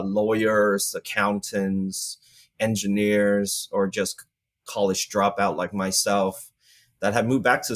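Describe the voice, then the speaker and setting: A man in his forties from Vietnam, a guest entrepreneur talking casually in an interview, relaxed and rambling with many fillers. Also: fairly low pitch.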